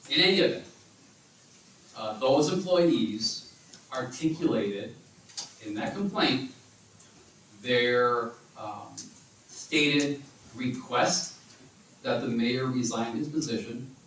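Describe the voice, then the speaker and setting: A man's voice: medium pitch, slow pace, pauses often, a bit bright, a little hiss or hum. A man giving a careful, formal account at a city council meeting, speaking in hedged, lawyerly phrases. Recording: echoey council chamber, distant mic.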